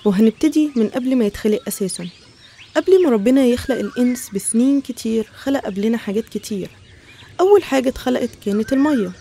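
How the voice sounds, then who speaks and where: A woman with an Egyptian accent narrates religious stories as a teacher would. Her voice is high and soft-edged in tone, on the mellow side, and she moves along quickly. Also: slight background noise. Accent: Egyptian Arabic. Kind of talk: educational religious storytelling narration.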